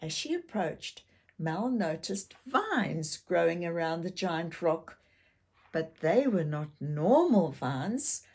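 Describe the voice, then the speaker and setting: Female children's story reader, unhurried and expressive, speaking directly to young listeners. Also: lowish voice, slightly rough. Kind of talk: animated read-aloud storytelling for young children.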